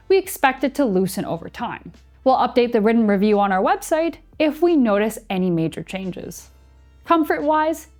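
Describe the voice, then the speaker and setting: High-pitched young woman presenting a review. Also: a little mellow. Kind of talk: scripted review narration. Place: small indoor studio set. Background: music.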